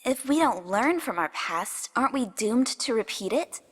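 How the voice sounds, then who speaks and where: A young pony princess, played by a woman, earnest and a little anxious. Her high voice is polite and hesitant, ringing in a long hall reverb for a radio play. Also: lively intonation, normal speed, husky. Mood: worried, earnest. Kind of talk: fan-made radio drama acting.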